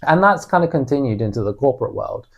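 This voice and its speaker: A man's voice: medium pitch, expressive, fast talker, gravelly. British man in reflective conversation. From United Kingdom. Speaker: podcast co-host. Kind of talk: conversational personal storytelling.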